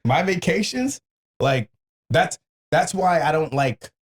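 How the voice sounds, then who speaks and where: Man with lively, swooping casual delivery. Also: fairly high pitch, slow pace. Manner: slangy, informal, swearing. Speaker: podcast host. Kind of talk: casual banter.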